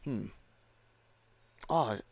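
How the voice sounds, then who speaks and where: A man's voice: extremely slow, no room sound. A man giving casual, offhand commentary as he streams and reacts to a video game.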